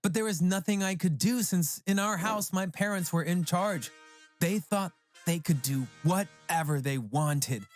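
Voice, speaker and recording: Story narrator, medium pitch, scripted first-person telling for animation, faint music bed. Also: unhurried. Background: background music.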